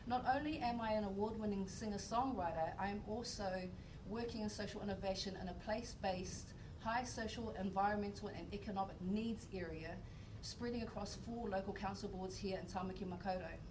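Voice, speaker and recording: A woman's voice: mid-range voice, fairly quick, few pauses. Earnest woman reading a prepared speech. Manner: earnest, emphatic. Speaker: speaker, singer-songwriter. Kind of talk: prepared speech read aloud. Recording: distant mic in a noisy room.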